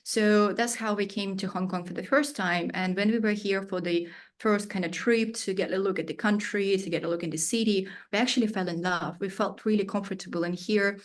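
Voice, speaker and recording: A woman's voice: medium pitch, steady tone, a little fast, hardly any pauses. A woman in her thirties, a native Russian speaker, answering interview questions with searching phrasing, frequent fillers and non-native grammar. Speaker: studio founder, guest.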